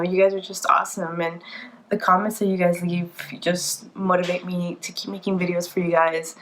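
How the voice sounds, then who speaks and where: A woman's voice: on the low side, rough recording. A woman in her twenties, congested from a cold, chatting casually and a bit tiredly to camera as a vlogger. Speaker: vlogger, PA student. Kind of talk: casual vlog talk to camera.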